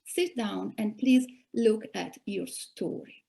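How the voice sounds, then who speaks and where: A woman in her forties from Italy with an Italian accent, giving measured career advice as a coach in a webinar talk. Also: medium pitch, vocal fry, warm tone. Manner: measured, advisory, addresses listeners directly. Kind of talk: webinar presentation.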